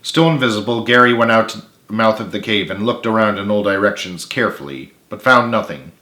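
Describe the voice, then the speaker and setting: A man's voice: on the low side, a little fast, sharp tone. A man reading a story aloud as a dramatic narrator in an echoey room. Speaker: author reading own book.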